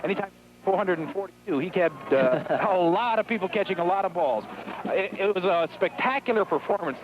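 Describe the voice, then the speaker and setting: High-voiced American color commentator, muffled old radio. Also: male voice, expressive, fairly quick. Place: outdoor high school football stadium. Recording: muffled, noisy 1970s radio broadcast.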